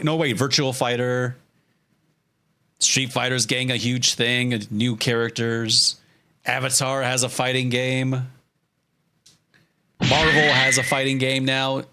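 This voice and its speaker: Guy streamer, bright sharp voice, casual jokey rambling, pokes fun at himself, swears a bit. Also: mid-range voice. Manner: self-deprecating, joking, casually profane. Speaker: streamer, storyboard artist. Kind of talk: casual livestream chat while drawing.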